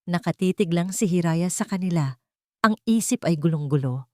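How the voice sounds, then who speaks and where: A woman's voice: fairly low pitch, expressive, normal speed, warm tone, no echo, studio quality. A Filipino woman reading a scripted dramatic story as its narrator.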